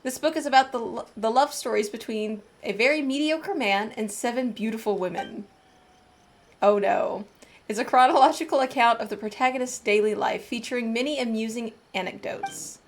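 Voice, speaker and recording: High-voiced woman streaming a game. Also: normal speed. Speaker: gaming streamer. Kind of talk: casual game commentary and reading dialogue aloud. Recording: game music under the voice.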